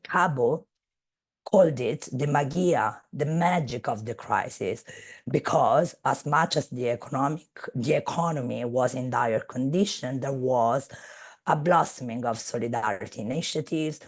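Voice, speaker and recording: Woman speaker on a video call, slow and measured, gravelly and husky. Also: a bass voice. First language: Italian. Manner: measured, searching for words. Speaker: guest speaker. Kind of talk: academic webinar talk.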